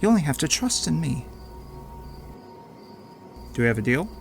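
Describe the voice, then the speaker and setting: An actor playing a smug, menacing crime lord in an audio drama, pompous and taunting with drawn-out mock politeness. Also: male voice, fairly high pitch, very animated, vocal fry, faint music behind. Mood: coolly persuasive. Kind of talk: audio drama villain acting.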